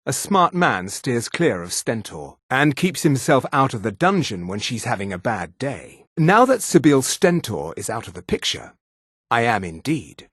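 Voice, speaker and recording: An actor voicing a haughty, sneering high elf official in a fantasy video game, speaking in a pompous, condescending, precise manner. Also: male voice, very animated, unhurried, fairly bright tone, slightly rough, dry recording, clean studio sound, exaggerated voice. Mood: sly, aloof. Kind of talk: scripted fantasy game dialogue.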